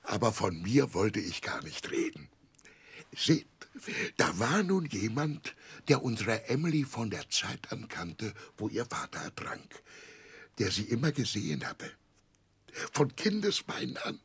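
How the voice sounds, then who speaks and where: A simple, rough old seafaring uncle in a 1960s radio play. The voice is deeply husky and a little low, moving slowly and haltingly. It rises and falls widely with proud, tender, overjoyed emphasis. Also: male voice, big pitch swings, exaggerated voice. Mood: confiding, hearty. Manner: emotional, halting, emphatic storytelling with exclamations.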